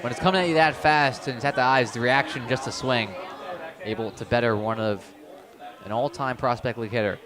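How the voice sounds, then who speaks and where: A man provides color commentary for baseball radio in an average-pitched voice. He explains the play in a conversational way, with a light crowd murmur behind him. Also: brisk. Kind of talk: live radio sports analysis.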